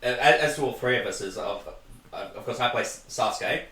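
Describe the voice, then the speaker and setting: A man's voice: medium pitch, a little fast, sharp tone, far from the mic. A man with an Australian accent, chatting casually as the host of an interview with voice actors. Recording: echoey room, off the mic.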